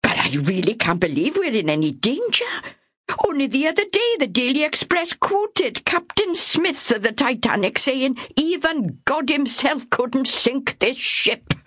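A woman's voice: sits high, big pitch swings, a little slow, a bit bright, breathy edge, dry recording, studio quality, pitched above the performer's own voice, exaggerated voice. A woman reader voicing a sleepy, skeptical older woman who talks in stubborn disbelief. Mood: doubtful. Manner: stammering, drowsy disbelief.

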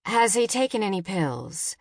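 A woman voicing a calm, steady emergency dispatcher in an audiobook, flat and procedural. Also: medium pitch, moderate pace, dry recording, studio quality, natural voice. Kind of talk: audiobook character dialogue.

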